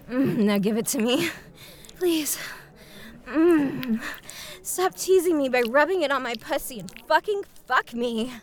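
A woman playing an exasperated, foul-mouthed adult restaurant worker in an erotic audio roleplay, pleading and swearing between breathy exclamations. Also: high-pitched, animated, slow, pauses often. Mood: exasperated, impatient.

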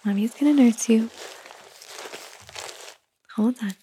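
A woman doing a whispered ASMR roleplay, talking softly and soothingly in a gentle whisper. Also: a little high, takes it slowly, a little hiss or hum, low-quality recording. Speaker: ASMR performer.

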